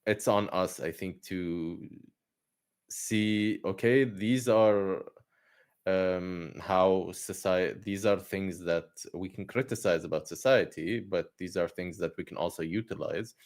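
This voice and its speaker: A man from Palestine talking casually as a guest, relaxed and joking, with frequent restarts. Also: low voice, slow pace. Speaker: guest activist. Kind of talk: casual conversation.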